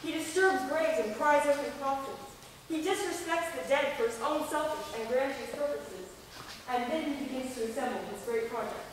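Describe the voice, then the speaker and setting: A woman's voice: sits high, moderate pace, close to an everyday voice. A schoolgirl playing a bold young woman author who tells a gothic horror story in a dramatic, teasing way on stage. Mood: menacing, teasing. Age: child or young teen student. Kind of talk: stage reading of a gothic radio play. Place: large echoing school performance space. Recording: echoey hall from far off, faint noise.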